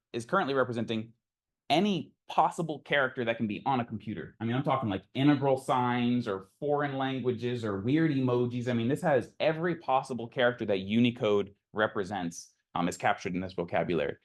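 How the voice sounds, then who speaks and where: A man giving an informal academic lecture, explaining in a conversational way and thinking aloud as he takes questions. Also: medium pitch. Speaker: lecturer presenting a technical talk.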